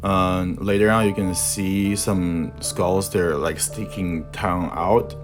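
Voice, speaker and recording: A young man with a Chinese accent, a native Mandarin speaker, narrating a voice-over that explains his tattoo design process. He talks haltingly and searches for words in his second language. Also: low voice, steady tone, music in the background. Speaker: tattoo artist and art instructor.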